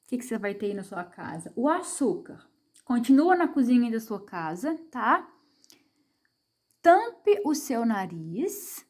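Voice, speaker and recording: Brazilian lady teacher doing an online lesson, kinda high voice, asks herself questions and answers them. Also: darkish tone. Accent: Brazilian Portuguese. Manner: frequent tag questions to the viewer. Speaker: science teacher. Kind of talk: online science lesson for children.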